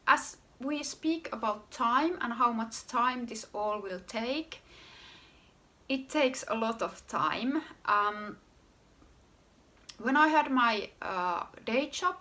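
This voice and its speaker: A woman from Finland with a Finnish accent, hosting her own podcast about her knitwear design work in an unhurried, casual way. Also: on the high side, bright, forceful tone. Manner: unhurried, pausing often with fillers and restarts. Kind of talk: casual solo podcast talk.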